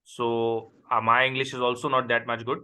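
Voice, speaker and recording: A man's voice: mid-range voice, flat delivery, pushed and bright, clear, resonant tone. A man from Pakistan, a native Urdu speaker, speaking as an accounting tutor and patiently interviewing a student over a video call. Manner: patient, repeats questions slowly. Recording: noisy call audio.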